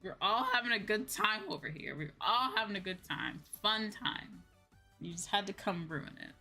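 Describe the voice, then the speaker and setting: A woman's voice: lowish voice, bright, forceful tone. A woman streamer chatting casually to her livestream, with an exasperated, venting manner and some casual swearing. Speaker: VTuber streamer, host.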